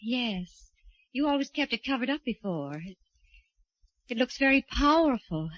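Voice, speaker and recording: 1940s radio drama role: hesitant, deferential young model, uneasy, dry close sound, slightly high. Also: female voice, sing-song, measured pace, no echo. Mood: polite, hesitant. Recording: muffled 1940s radio recording.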